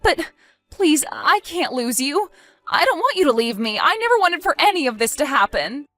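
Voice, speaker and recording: A woman's voice: high-pitched, very animated, normal speed, fairly bright tone, faint music behind. A woman playing a desperate, defensive cheating wife in an acted audio drama, pleading and evasive. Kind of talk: acted audio story dialogue.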